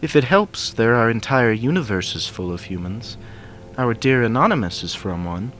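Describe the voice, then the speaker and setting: A man voicing a gentle, regal female pony princess, soft-spoken and affectionate, with archaic courtly speech. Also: mid-range voice, expressive, normal speed, a little hiss or hum, lightly acted. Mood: calm, formal.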